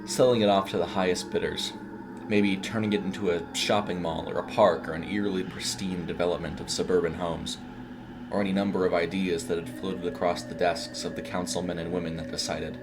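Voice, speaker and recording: A man's voice: low voice, steady tone, brisk, music in the background. A man reading a scripted short story as a dramatic narrator.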